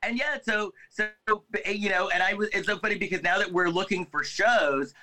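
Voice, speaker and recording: A man's voice: sits high, normal speed, sharp tone, faint music behind. A man co-hosting a podcast in casual chat, rambling with many restarts and fillers. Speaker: podcast co-host.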